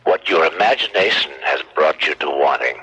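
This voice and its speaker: An actor playing a menacing, taunting night caller in a radio mystery, with a husky, sharp-edged voice. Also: male voice, extremely low pitch, expressive, normal speed, exaggerated voice, low-quality recording. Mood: taunting, coaxing.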